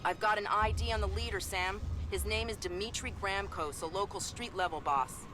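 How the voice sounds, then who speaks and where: An actress as a no-nonsense woman guiding an agent over comms in a video game. She is brisk, dry and businesslike, with a medium-pitched voice. Clear music plays behind her. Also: natural voice. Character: brisk, no-nonsense female intelligence handler on comms.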